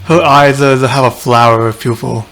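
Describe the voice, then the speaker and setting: Woman streamer chatting over a game, really deep voice, offhand, faint music behind. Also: steady tone, moderate pace. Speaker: gamer, streamer. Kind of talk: casual game playthrough commentary.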